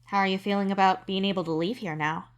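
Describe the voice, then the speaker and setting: A woman's voice: mid-range voice, steady tone, quick pace, lightly acted. A woman playing a caring, earnest young male alien in audio fiction, speaking casually and openly. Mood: gentle, reassuring. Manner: soft-hearted, casual, open. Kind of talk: scripted audio fiction dialogue.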